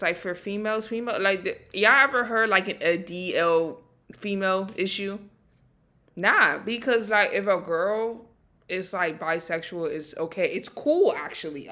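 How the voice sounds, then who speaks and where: A woman's voice: mid-range voice, bright, forceful tone. A woman co-hosting a casual reaction chat, laid-back and slangy, often checking in with "you know what I mean". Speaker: co-host.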